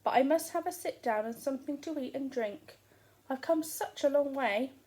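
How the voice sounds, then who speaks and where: A woman voicing Jack, a bold and cheeky young boy who sounds eager and excited. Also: high voice, normal speed, lightly acted, poor audio.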